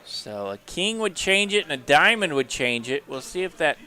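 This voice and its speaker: A man with a fairly high, bright, forceful voice gives poker color commentary. He is playful and jokes along with his partner. He talks from a commentary booth. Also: normal speed, smooth. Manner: playful, joking, excitable on big hands.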